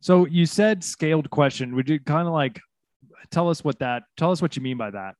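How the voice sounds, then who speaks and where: A man's voice: on the high side, lively intonation, normal speed, dry recording, studio quality. A man co-hosting a casual talk on trial work. He chimes in often with short agreements and jokes now and then. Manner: agreeable, frequent short affirmations, joking. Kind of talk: casual conversation.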